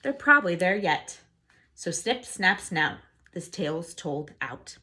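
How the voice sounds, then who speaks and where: Expressive woman reading to young children. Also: fairly low pitch, takes it slowly. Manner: expressive, asks listeners questions and answers them. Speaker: teacher. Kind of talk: children's storybook read-aloud and lesson.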